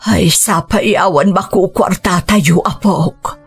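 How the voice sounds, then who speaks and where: A woman's voice: fairly low pitch, slow pace, vocal fry, slightly rough, faint music behind, exaggerated voice. A woman with an Ilocano accent playing a long-suffering, caring old grandmother in a radio drama, plaintive and weary. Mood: worried.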